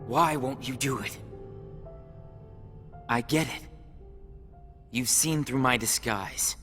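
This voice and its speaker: A man playing a brooding, bitter young hooded rival in a video game cutscene, with a grave, deliberate delivery. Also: medium pitch. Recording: loud music behind the voice.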